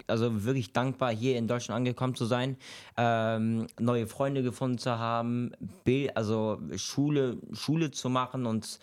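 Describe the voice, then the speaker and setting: A young man from Syria whose first language is Kurdish, answering interview questions in a halting way, searching for words. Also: medium pitch, steady tone, clear, resonant tone. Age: young adult. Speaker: podcast guest.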